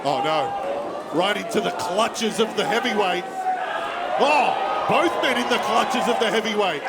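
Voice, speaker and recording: A man works as a wrestling colour commentator, pitched high and pushing his points in an indignant, partisan way. A very loud crowd and heavy noise fill the background. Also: lively intonation, unhurried. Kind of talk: live sports commentary. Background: noisy wrestling crowd.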